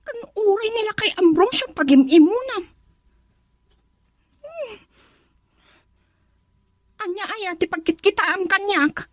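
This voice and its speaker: Coy young sweetheart, high voice, slow drawl, sulky, Ilocano Filipino accent, radio drama. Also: female voice, animated, heavily acted. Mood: coy, pouting.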